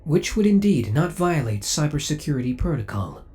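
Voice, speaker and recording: A man's voice: a little high, very animated, normal speed, dark tone. A man playing a possessive, coolly obsessive male spaceship AI in an ASMR audio roleplay, speaking with formal, precise, robotic politeness. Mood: formal, disapproving.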